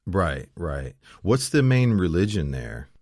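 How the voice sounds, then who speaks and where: A man's voice: low-pitched, very animated, takes it slowly, darkish tone, dry recording, studio quality. A man hosting an interview show, speaking hesitantly with many "um" and "uh" fillers and friendly affirmations. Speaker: show host.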